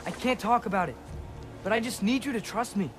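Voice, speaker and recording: Guy playing a teen boy from a game, high young voice, earnest and pushy, music under it. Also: a little fast, lightly acted. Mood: defiant. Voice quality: youthful. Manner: questioning, insistent. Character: earnest, defiant teenage son of a warrior. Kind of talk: dramatic video game acting.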